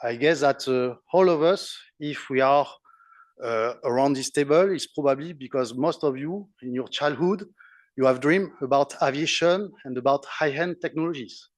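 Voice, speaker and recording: Halting man speaking on a panel. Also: medium pitch, dry recording. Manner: halting, many fillers and restarts. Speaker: conference panelist. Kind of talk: conference panel remarks.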